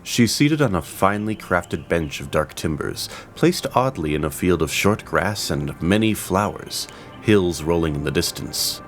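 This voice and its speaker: A man narrating a fantasy audio drama, reading with expressive, dramatic pacing. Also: low voice, unhurried, soft background music. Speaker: storyteller.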